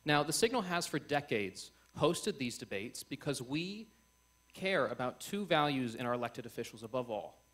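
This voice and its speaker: A man's voice: mid-range voice, fairly bright tone. Young man hosting a formal debate. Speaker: host of a student election debate. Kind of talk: formal speech introducing a debate. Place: on stage before a live audience. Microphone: event microphone.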